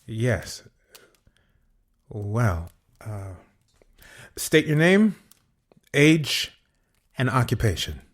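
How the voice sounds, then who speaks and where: A man playing an uneasy, formal, calculating inspector in an audio drama, officious and halting as he questions a prisoner. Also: mid-range voice, big pitch swings, heavily acted.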